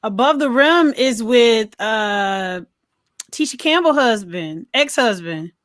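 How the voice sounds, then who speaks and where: A woman's voice: a little high, expressive, slow, no echo, studio quality. A woman co-hosting a casual film review chat, talking in an informal, slangy, conversational way. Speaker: podcast co-host.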